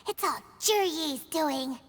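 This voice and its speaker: A woman voicing a tiny, excitable, childlike companion creature in a video game, with a squeaky voice. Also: slow, exaggerated voice. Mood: indignant. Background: music.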